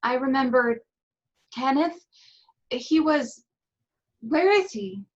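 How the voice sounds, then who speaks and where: A woman's voice: high voice, lively intonation, slow, muffled recording. A woman in a staged play reading, playing a fragile, shaken young Barbie doll, tentative and sweet.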